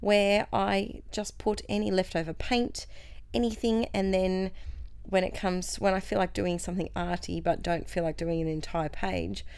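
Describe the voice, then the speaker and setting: Croaky woman crafting voice-over. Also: medium pitch, steady tone, moderate pace, darkish tone, dry recording. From Australia. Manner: chatty, cheerful, self-mocking. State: croaky from a cold. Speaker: craft channel host. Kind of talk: scrapbooking process voice-over.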